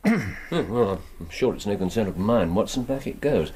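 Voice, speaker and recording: An actor playing a cool, ironic Victorian detective who speaks in a clipped, dryly sardonic way. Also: male voice, on the low side, animated, moderate pace, a little mellow, slight background noise, lightly acted. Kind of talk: radio drama acting.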